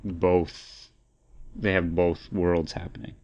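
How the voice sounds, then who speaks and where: Low-voiced man chatting casually as podcast co-host. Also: steady tone, takes it slowly, muffled recording, crowd noise. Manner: rambling, repeats phrases while thinking aloud. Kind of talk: casual opinionated discussion.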